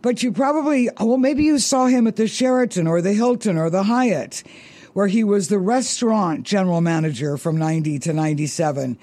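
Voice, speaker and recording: High, mellow-voiced male radio host. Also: a little fast, no room sound. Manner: bubbly, laughs easily, stretches words for emphasis. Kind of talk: upbeat radio interview.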